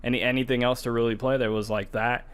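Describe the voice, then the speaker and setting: Clear-voiced man in casual discussion. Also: mid-range voice, flat delivery, quick pace, a bit bright. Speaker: podcast co-host. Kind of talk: casual gaming podcast discussion.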